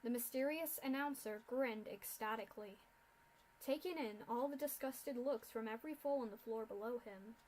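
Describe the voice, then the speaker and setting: A young woman narrating a fan-fiction story aloud in a steady reading voice. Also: on the high side, moderate pace, a little hiss or hum, poor audio. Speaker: fanfiction reader.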